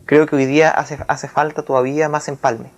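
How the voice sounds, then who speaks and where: A man speaking as a writer in a reflective literary interview, with formal wording and a halting, searching manner. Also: fairly high pitch, flat delivery, lo-fi sound. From Chile.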